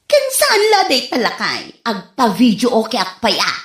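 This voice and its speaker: A woman's voice: fairly high pitch, wildly swooping pitch, moderate pace, a bit bright. A woman playing a show-off, spendthrift wife and mother in a radio comedy drama, with an Ilocano Filipino accent. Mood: boastful, excited. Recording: echoey room, music behind.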